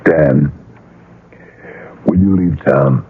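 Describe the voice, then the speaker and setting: Low, husky, anxious father. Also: extremely slow, heavily acted. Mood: urgent, persuading. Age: middle-aged. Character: anxious, pleading, possessive father of a grown daughter. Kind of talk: 1970s radio drama acting. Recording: muffled vintage radio broadcast.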